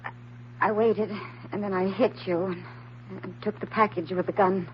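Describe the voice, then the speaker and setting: A woman's voice: mid-range voice, fairly even intonation, natural voice. Woman acting a frightened, hesitant radio-drama heroine. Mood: anxious, confessing. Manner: hesitant, halting, earnest. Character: frightened, naive woman, anxious and pleading. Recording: dull, slightly noisy old radio recording.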